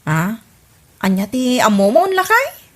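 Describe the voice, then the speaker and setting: Woman as resentful neighbor wife, Ilocano Filipino accent, highish, very lively pitch, radio drama. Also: a little slow, exaggerated voice.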